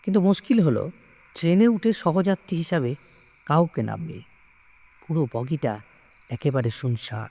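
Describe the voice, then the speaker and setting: A man reading a story aloud in a measured, expressive, suspenseful way with dramatic pauses. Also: a little high. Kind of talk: dramatic scripted story narration.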